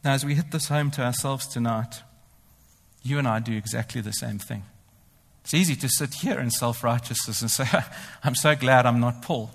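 A man's voice: medium pitch, gravelly, a bit bright. A man preaching a sermon. He talks animatedly, often speaking in the first person as the apostle and boasting ironically. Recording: long hall reverb.

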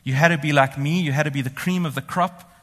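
Animated preacher, long hall echo. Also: male voice, a little high, fast. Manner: animated, speaks in the first person as the apostle, ironic boasting. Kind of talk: sermon.

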